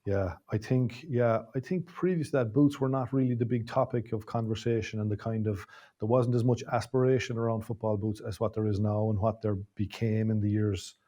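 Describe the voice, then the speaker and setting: A man's voice: lowish voice, fairly even intonation, a little fast, few pauses, dark tone. An Irish man hosting an interview, probing and rephrasing his questions as he goes. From Ireland. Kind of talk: conversational interview.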